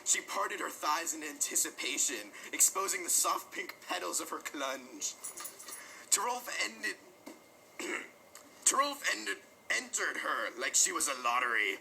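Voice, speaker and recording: A man giving a mock-dramatic, overwrought reading of parody romance fiction, with drawn-out emphasis. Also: fairly high pitch, sing-song, gravelly, bright, forceful tone, slight background noise, rough recording. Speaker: reader of a comic dramatic reading.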